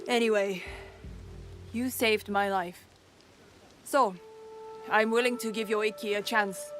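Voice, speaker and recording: A self-assured noblewoman warrior, played by a woman in a video game, mid-pitched and proud. She speaks like a wry mentor, with clear music behind her. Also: steady tone, takes it slowly, natural voice. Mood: wry, confident. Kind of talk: dramatic video game dialogue.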